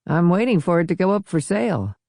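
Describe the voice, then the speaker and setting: An offhand, moneyed man at the tiller, voiced by a female narrator, dismissive and flippant. The tone is mellow and the storybook recording is dry. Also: on the low side, big pitch swings, fast talker, creaky, dry recording, voice pushed up, full character voice. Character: wealthy, dismissive male weekend host out sailing.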